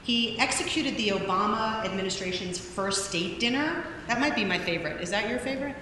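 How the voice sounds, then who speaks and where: Woman, interviewer, upbeat, reads a long introduction, bright tense voice, heavy echo, large hall. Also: mid-range voice, animated, a little fast, slight background noise. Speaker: interviewer, event moderator. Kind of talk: reading a guest introduction, then interviewing. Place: large room at an event. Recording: very echoey hall, faint ambience.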